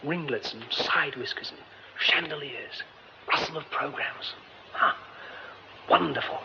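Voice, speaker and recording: A man's voice: a little high, a little slow, creaky. An actor playing a young theatre man who mutters to himself wryly and stammers under strain. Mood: musing. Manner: muttering to himself, wry, later frantic stammering. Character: young male theatre man exploring a derelict theatre. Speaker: lead character. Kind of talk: radio drama acting. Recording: dull old radio recording with hiss.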